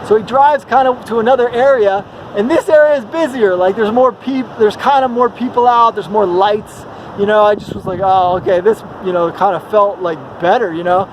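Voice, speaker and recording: A man telling a casual, rambling personal story in an animated, slangy way, acting out bits of dialogue. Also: sits high, normal speed, few pauses, slight background noise, rough recording. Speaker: travel vlogger.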